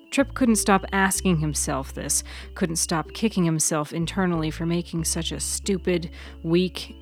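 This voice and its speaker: A woman reading scripted fiction as the narrator of an audio story. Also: fairly low pitch. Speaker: author and narrator.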